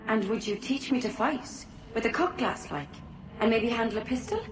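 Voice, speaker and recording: An actress playing an eager young barmaid who asks about pirate life. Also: female voice, a little high, moderate pace, far from the mic, close to an everyday voice. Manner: eager, questioning. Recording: echoey, from afar, faint noise.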